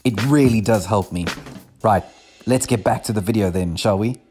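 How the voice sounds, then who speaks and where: A man's voice: on the low side, moderate pace, a little mellow, faint music behind. A man giving an upbeat, direct plug for his channel. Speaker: channel host. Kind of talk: subscribe plug.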